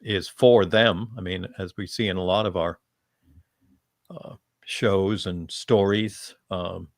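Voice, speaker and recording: A man speaking as a fan panelist, giving his opinions in a measured, conversational discussion style. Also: sits low, steady tone, no room sound, clean studio sound.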